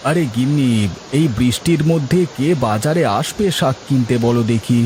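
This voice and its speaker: A man's voice: mid-range voice, moderate pace, darkish tone, slight background noise, lightly acted, poor audio. A man voicing a plaintive, haggling village man in a colloquial cartoon folk tale. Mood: worried. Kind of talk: acted cartoon dialogue.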